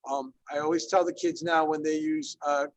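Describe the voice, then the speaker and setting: A man's voice: fairly high pitch, level tone, moderate pace, a little hiss or hum. A man in his fifties from Ecuador, a Spanish teacher leading an online workshop in a conversational way, with many fillers and frequent check-ins. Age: 50s. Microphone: video call.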